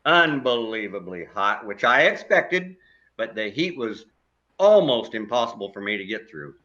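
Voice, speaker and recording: A man's voice: on the low side, sing-song, normal speed, pushed and bright, lo-fi sound. A man playing a grumpy older widowed neighbor in a stage play, blunt and dryly complaining. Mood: cranky, ranting.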